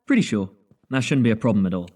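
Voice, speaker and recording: A man's voice: lowish voice, lively intonation, fast, dark tone, close to an everyday voice. A man playing a confident, analytical scientist on a space mission in an audio drama. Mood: confident, reasoning.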